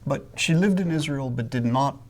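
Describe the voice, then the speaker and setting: A man's voice: medium pitch, moderate pace, darkish tone, slight background noise. A man conducting an interview, asking short, curious follow-up questions.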